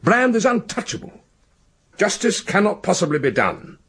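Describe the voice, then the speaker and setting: A haughty british academic boss, formal and clipped, bit raspy but lively pitch, radio drama. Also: male voice, normal speed, exaggerated voice. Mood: grave, pained. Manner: formal, clipped, self-important. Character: proud, stiff male academic in charge of an institution.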